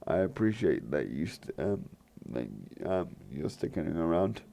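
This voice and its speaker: A man hosting a gaming channel, talking in a rambling, hesitant way with lots of fillers and restarts, his voice croaky. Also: sits low, very animated, unhurried, mellow. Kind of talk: rambling let's play commentary.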